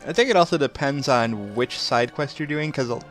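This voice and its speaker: A man of thirty hosts a let's play in an easy, chatty way. His voice sits at an average pitch and he is prone to chuckling between remarks. Game music plays faintly behind him. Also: brisk, a bit bright. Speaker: gameplay video host. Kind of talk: casual let's play commentary.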